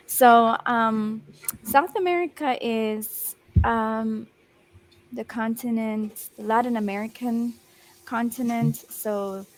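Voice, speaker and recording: A woman's voice: a little high, a little hiss or hum, rough recording. A young Australian woman tutoring English over a video call, patient and encouraging, rephrasing often with lots of ums. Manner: patient and encouraging, slows down and rephrases, many um fillers. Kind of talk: one-on-one language lesson over video call.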